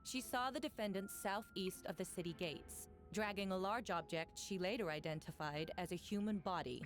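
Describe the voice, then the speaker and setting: A woman's voice: mid-range voice, soft background music, natural voice. Woman as a formal, stern female prosecutor. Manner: formal, measured.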